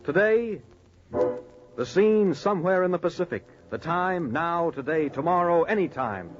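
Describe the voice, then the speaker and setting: American male announcer, declamatory, very lively pitch, 1940s radio, dull recording. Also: moderate pace, music in the background. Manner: declamatory, formal. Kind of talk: 1940s radio scripted narration.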